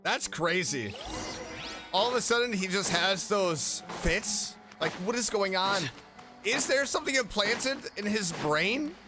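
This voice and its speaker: A man streams a game with casual commentary. His voice is high and very animated, exclamatory in manner, over clear background music. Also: unhurried. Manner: exclamatory, easily startled.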